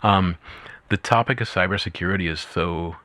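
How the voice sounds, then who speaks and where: Male podcast host, very low voice, friendly rambling questions, dry close sound. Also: moderate pace, pushed and bright, dry recording. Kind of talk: casual interview chat.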